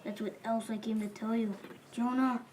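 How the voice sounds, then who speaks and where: A woman's voice: on the high side, level tone, moderate pace, background noise, lightly acted. A woman playing a helpful, courteous male merchant in an amateur biblical audio drama. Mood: earnest, informative.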